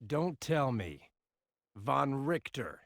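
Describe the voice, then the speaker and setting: An actor playing an impatient army general in a war video game, blunt, clipped and demanding. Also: male voice, medium pitch, big pitch swings, very slow, creaky, a bit bright, no room sound, studio quality, exaggerated voice. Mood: decisive. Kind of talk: video game cutscene acting.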